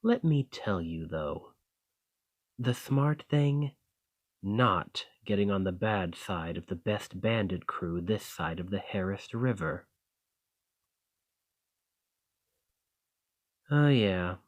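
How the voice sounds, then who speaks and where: Bandit leader, male actor, audio roleplay: confident rogue, fairly deep voice, very unhurried, folksy and teasing. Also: animated, exaggerated voice. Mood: wry, teasing. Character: roguish male bandit leader, confident, wry, genial schemer. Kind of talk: scripted roleplay acting addressed to the listener.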